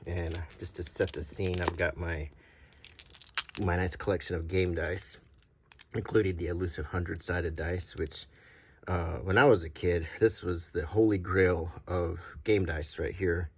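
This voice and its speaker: A middle-aged man giving a casual show-and-tell as a hobby collector, talking softly in an unhurried, halting way. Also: sits low, fairly even intonation, slight background noise. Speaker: hobby collector presenting a video.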